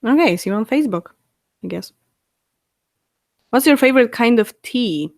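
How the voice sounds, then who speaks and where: A Polish woman in her twenties chatting on a live stream, answering viewers' questions with frequent laughs and halting fillers. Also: a little high, animated, dry recording, clean studio sound. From Poland. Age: 20s. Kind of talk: casual live stream chat.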